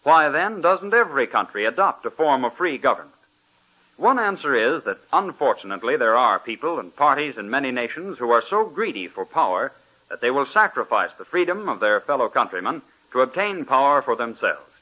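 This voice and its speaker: A man's voice: medium pitch, very animated, brisk, slightly rough. A man giving a formal, declaiming scripted sponsor announcement on old-time radio. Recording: tinny old radio broadcast.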